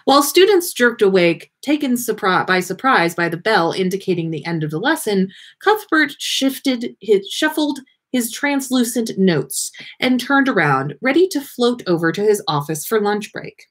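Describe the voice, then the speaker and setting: A woman reading fan fiction aloud as an expressive storyteller. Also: medium pitch, normal speed, few pauses.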